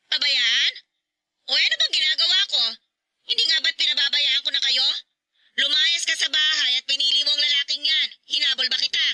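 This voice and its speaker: Mapanghamak na ina, napakatalas ng boses. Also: female voice, high-pitched, a little fast, dry recording, low-quality recording. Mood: scornful, aggrieved. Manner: sharp, sarcastic rhetorical questioning. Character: scornful, bitter mother-in-law, quarrelsome and disapproving. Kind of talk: Tagalog radio drama acting.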